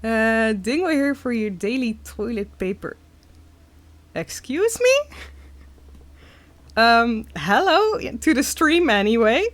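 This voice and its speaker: A young Dutch woman with a Dutch accent, chatting casually and haltingly while reading comments on a live stream. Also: on the high side, big pitch swings. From Netherlands. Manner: slow, halting, many fillers.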